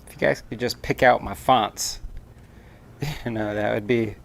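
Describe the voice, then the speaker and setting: A man's voice: medium pitch, fairly even intonation, a little slow, vocal fry. A man in his thirties co-hosting a live craft stream from a workshop, chatting and teasing playfully while he works, picked up by a camera mic. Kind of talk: casual joking chat while crafting.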